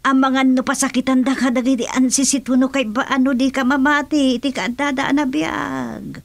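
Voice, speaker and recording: A nagging village wife with an Ilocano accent, warning and scolding in a comedy radio serial. Her voice sits fairly high and is recorded dry and close. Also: steady tone, normal speed, creaky, dry recording. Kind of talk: comedy radio drama acting.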